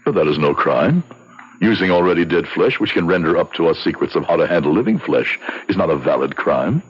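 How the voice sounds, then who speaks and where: An actor playing an earnest, troubled doctor, speaking in a measured, dramatic way in an old-time radio drama. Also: male voice, sits low, expressive, husky. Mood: uneasy. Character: earnest, troubled young male doctor recalling his guilt. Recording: muffled old radio recording with music.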